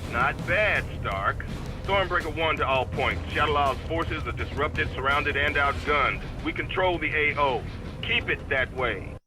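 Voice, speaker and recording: Brief: actor as a curt, commanding military director, game cutscene, slightly raised pitch, music behind. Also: male voice, unhurried, close to an everyday voice. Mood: stern. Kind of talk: video game cutscene acting.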